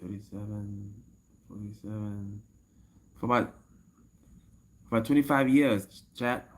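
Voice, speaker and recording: A man's voice: on the low side, unhurried. Chatty man in his fifties livestreaming. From United States. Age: 50s. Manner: rambling, repeats himself, laughs often. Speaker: MMA fighter and coach streaming live. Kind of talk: casual livestream chat.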